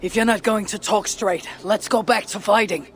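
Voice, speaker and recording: A woman's voice: medium pitch, normal speed, close to an everyday voice. Actress doing a blunt viking warrior woman, suspicious, game cutscene, bit of background noise. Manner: terse, blunt, challenging. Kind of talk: video game cutscene dialogue.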